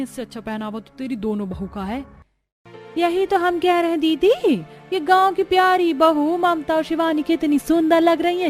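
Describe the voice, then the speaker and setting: A woman plays a disapproving, gossipy village aunty of middle age. The voice is high and scandalized, in a cartoon drama over faint music. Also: a little mellow, close to an everyday voice. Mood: scornful.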